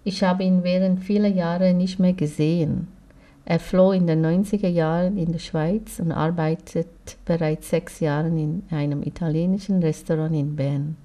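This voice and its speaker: Young woman, scripted first-person narration, low-set and very mellow in tone, measured. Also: fairly even intonation. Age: young adult.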